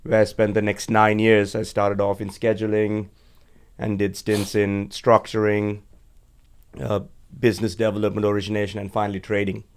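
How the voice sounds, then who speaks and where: A man in his forties, originally from Sri Lanka, speaks as a podcast guest in a low, steady register. His voice is smooth and resonant, with little rise and fall. He tells his story in a measured way, pausing on frequent fillers. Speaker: guest, energy executive. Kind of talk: conversational interview. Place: podcast studio.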